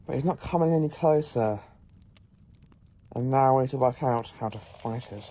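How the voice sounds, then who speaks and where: A man playing an eccentric, clever time-travelling professor in an audio drama, with a brisk, musing, take-charge manner. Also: medium pitch, mellow, natural voice. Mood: resolute.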